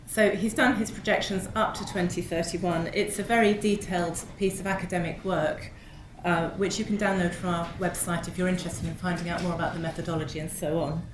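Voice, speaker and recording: A woman presenting at a panel debate, speaking in a measured, informative way with frequent ums while hoarse from a cold. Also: fairly low pitch, fairly even intonation. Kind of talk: conference presentation. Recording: echoey hall with noise.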